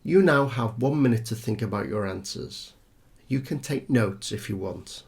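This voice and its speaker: A man delivers scripted exam instructions as a tutor and examiner. His mid-pitched voice moves up and down in a lively way, with a creaky edge, and he explains things clearly. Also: normal speed.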